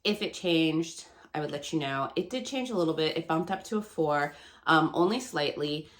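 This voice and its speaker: Book vlogger, woman, 30s, low voice, gravelly and nasal with a cold, chatty. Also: expressive, normal speed. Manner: chatty, rambling, laughs often. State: hoarse and stuffy from a cold. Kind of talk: casual chat to camera.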